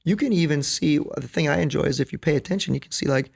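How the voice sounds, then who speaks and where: Gravelly-voiced man in earnest conversation. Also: on the high side, fast. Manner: earnest, emphatic, anecdotal, often checks in with "right?" and "you know". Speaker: podcast guest, basketball coach. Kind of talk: conversational podcast interview.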